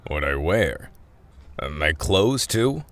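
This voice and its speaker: A man's voice: low voice, sing-song, takes it slowly, a bit bright, no echo, full character voice. An actor playing a world-weary, folksy thief in his seventies, wry, hesitant and slangy, in an audio drama. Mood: bewildered. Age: 70s. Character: world-weary 75-year-old male ex-convict thief, folksy, skeptical.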